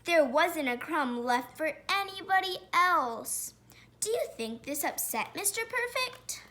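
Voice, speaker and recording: A woman reading a children's picture book aloud, pausing to point out pictures and put questions to listeners. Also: very high-pitched, sing-song, pushed and bright. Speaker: storybook reader.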